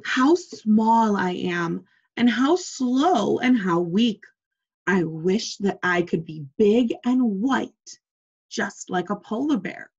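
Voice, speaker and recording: Woman voicing a small wishful chameleon, slow. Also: medium pitch, lively intonation, darkish tone, slightly rough, dull audio, full character voice. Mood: wishful, downcast. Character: small chameleon, wishful, forever longing to be different.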